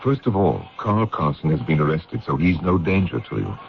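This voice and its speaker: A practical investigator and confidant in an old radio drama. His very low, gravelly voice reasons steadily and plainly, over a dull recording. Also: very animated, moderate pace, full character voice. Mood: reassuring, reasoning. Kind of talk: vintage radio drama acting. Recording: muffled old radio broadcast.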